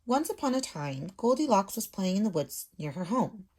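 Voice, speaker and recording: A woman's voice: mid-range voice, very animated, moderate pace, darkish tone. Woman teacher reading to young children. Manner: clear step-by-step explaining to children. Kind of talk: children's lesson and storybook read-aloud. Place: small room at home.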